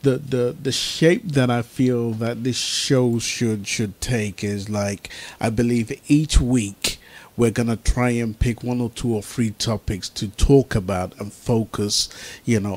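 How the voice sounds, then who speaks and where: A man's voice: lowish voice, steady tone, measured pace, a little mellow. A man presenting an informal radio talk show as its host, speaking to listeners.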